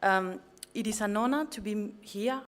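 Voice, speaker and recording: A young woman with a Colombian Spanish accent speaks at an event, her first language plainly Spanish. She delivers a prepared talk with earnest care, a little nervous and sometimes tripping over words. Her pitch sits in an ordinary middle range. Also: moderate pace. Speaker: guest speaker, master's student. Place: event venue.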